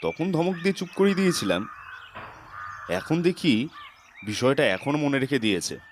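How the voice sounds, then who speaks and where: A man's voice: mid-range voice, big pitch swings, normal speed, a little hiss or hum, close to an everyday voice. A man playing a stern but caring middle-aged father in an audio story drama. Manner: scolding, admonishing.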